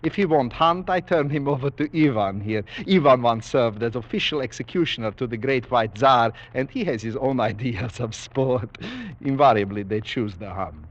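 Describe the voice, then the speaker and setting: An actor playing a courtly, menacing aristocratic general who hunts men for sport, in 1940s radio drama style. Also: male voice, medium pitch, lively intonation, moderate pace, lightly acted. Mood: genteel menace. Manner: courtly, menacing politeness with soft chuckles. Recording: dull vintage 1940s radio recording.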